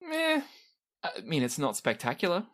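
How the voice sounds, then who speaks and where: Animated game master, mid-pitched voice. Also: moderate pace. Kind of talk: improvised tabletop game narration.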